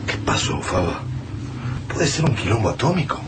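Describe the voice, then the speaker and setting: A man's voice: on the low side, sing-song, moderate pace, background noise. An actor playing a middle-aged survivor who leads his family, speaking tensely in a radio play. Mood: worried. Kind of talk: radio drama acting.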